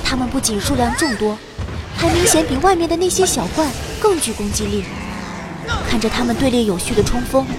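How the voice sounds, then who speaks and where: Casting: actress as young female adventurer narrating a fantasy drama, high and lively, loud music underneath. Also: fairly quick, natural voice. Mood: dramatic. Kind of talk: dramatic scripted first-person narration.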